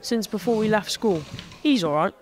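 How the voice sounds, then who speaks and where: An actor playing a friendly, easygoing young wedding guest. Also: male voice, high voice, normal speed, close to an everyday voice. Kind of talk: audio drama acting.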